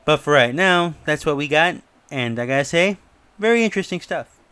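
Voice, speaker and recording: A man's voice: a little high, lively intonation, normal speed, sharp tone, clean tone, no room sound. A man giving casual, speculative video commentary in a musing, slightly rambling way as host. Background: music under the voice.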